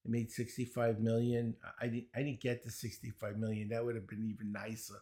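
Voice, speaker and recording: An older man with a Brooklyn accent, a guest answering interview questions with quips and a fast list of his achievements. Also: mid-range voice, monotone, warm tone. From Brooklyn, New York. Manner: wisecracking, chuckles at his own jokes, rapid run of credentials. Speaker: guest, speed-reading teacher.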